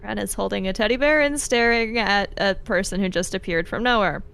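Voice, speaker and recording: A woman's voice: mid-range voice, a little fast, creaky, fairly bright tone, clear, resonant tone. A woman playing a tabletop game, chatting casually in character with a playful, questioning manner. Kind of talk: casual improvised in-character chat.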